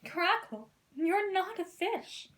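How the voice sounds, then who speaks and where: A woman voicing a kind, caring young princess in a children's storybook, gentle, earnest and expressive. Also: very high-pitched, slow pace, pitched above the performer's own voice, full character voice. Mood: exasperated.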